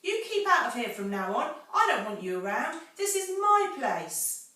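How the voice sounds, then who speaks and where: A woman voicing a shrieking, territorial male rat in a read-aloud storybook, with a shrill, scolding manner. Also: sing-song, moderate pace, sharp tone, far from the mic. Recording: roomy echo, from the mic.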